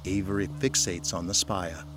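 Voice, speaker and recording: A man's voice: deep voice, fairly even intonation, moderate pace, background noise. A man giving scripted, dramatic narration as the narrator of an audio drama.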